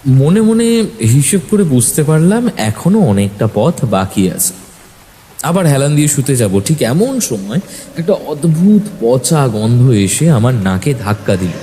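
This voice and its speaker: Male narrator for audio horror: dark-toned, very lively intonation, expressive and suspenseful, slight background noise. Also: medium pitch, unhurried. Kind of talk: dramatic first-person horror storytelling.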